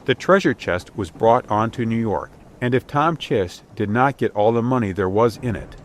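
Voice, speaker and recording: A man's voice: lowish voice, a little hiss or hum. A man narrating an old adventure storybook in a scripted, dramatic reading style. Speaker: audiobook narrator. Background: outdoor ship ambience.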